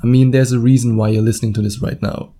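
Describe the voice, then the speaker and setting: Male solo podcaster, German accent, soft mellow timbre, casual and blunt coaching monologue. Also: mid-range voice, flat delivery, quick pace. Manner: informal, blunt, swears casually, acts out imagined quotes. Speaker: podcast host and dating coach.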